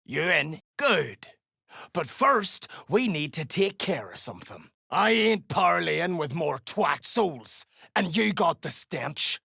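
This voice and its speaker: Gravelly, menacing dwarf gang boss. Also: female voice, lowish voice, very animated, takes it slowly, sharp tone, no room sound, studio quality, full character voice. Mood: gruff, scheming. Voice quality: gravelly, growling. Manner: gruff, crude, slangy, threatening. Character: foul-mouthed, menacing duergar mercenary gang boss, greedy for coin. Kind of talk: video game character dialogue.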